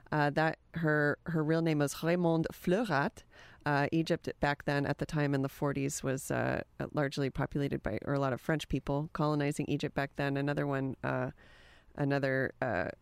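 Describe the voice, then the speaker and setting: A woman's voice: low-pitched, smooth, dry recording. A woman hosting a college radio music show, chatting in an easygoing, meandering way between songs in a studio. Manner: easygoing, meandering, enthusiastic about old records. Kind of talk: casual radio back-announcing and chat.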